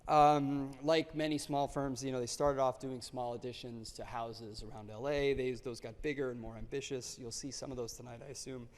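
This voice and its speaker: A man's voice: mid-range voice, steady tone. Man introducing a speaker in a hall. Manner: halting, many fillers and restarts. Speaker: lecture introducer. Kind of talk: spoken introduction at a lecture. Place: lecture auditorium. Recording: long auditorium reverb.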